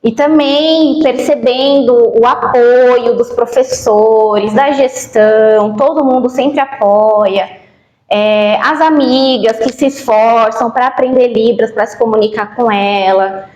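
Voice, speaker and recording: A Brazilian woman with a fairly high voice speaks in a roomy, echoing space. She works as a school interpreter and gives her testimonial haltingly, stretching words for emphasis. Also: darkish tone. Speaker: sign language interpreter at a school. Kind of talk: spoken testimonial in a school podcast. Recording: roomy echo.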